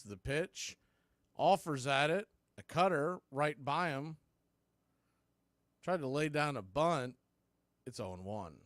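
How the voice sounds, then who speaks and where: Clear-voiced man calling baseball play-by-play. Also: mid-range voice, fairly bright tone, dry recording. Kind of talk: live play-by-play sports commentary. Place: indoors, calling the game from a video feed.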